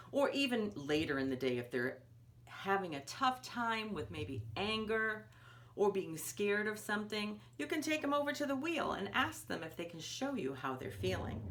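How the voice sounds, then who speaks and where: A woman's voice: medium pitch, a bit bright. A woman presenting a children's storytime, patiently explaining a craft step by step in simple words to parents of young children. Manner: simple patient explaining, often refers to herself in third person.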